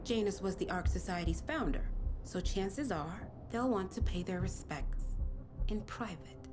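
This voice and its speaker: A woman playing a sharp-witted field operative in a video game, talking in a wry, informal way. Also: medium pitch, measured pace, natural voice. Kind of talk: cinematic game dialogue. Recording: music behind the voice. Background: cinematic music.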